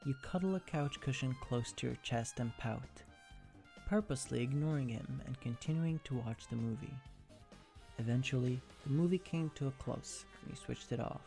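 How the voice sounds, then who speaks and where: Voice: mid-range voice, moderate pace, vocal fry, mellow, soft background music. A narrator reading second-person fan fiction in an ASMR storytelling style.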